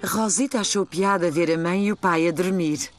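A woman voicing a gentle storybook narrator for a children's cartoon, with a European Portuguese accent. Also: fairly low pitch, normal speed, faint music behind, natural voice. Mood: gentle storytelling.

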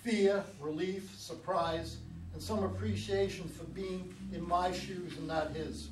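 A man's voice: high voice, fairly even intonation, slow. An older man playing a wary, regretful grandfather in a staged, dramatic script reading. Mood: reflective. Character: wary, regretful father and grandfather, a lonely driver. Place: meeting room with long table, indoors. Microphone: far camera. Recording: roomy, distant mic, background room noise.

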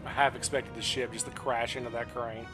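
A man's voice: mid-range voice, flat delivery, a little fast, a bit bright. A young adult man giving casual live reactions as a game streamer, recorded close up indoors. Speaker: game streamer playing a role-playing game. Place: indoor room at a streaming setup. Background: game music and sound under the voice.

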